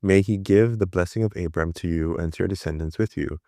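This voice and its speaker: A man reading scripture aloud in a measured, deliberate way. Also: sits low, warm tone, dry recording, clean studio sound. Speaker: Bible reader.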